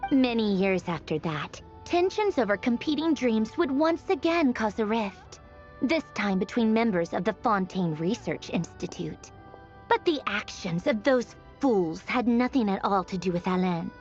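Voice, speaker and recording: An aloof, wry woman storyteller from a video game as an actress performs her recounts tragic history in a theatrical, musing way. Her mid-pitched voice rises and falls widely, with faint mockery in the delivery. The sound is a little dull, with soft music underneath. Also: lively intonation, measured pace, close to an everyday voice. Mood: wistful, musing. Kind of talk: scripted dramatic video game narration. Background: background music.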